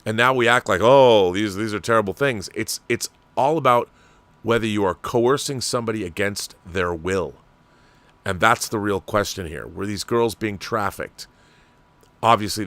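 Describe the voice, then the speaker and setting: A man with an American accent and a fairly low voice hosts a livestream. He comments in a casual, discursive way, sharing opinions as if thinking aloud to his viewers. The sound is very dry, with no room reflections. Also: normal speed, fairly bright tone, dry recording. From Washington state, United States. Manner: conversational and discursive, with rhetorical questions. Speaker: livestream host.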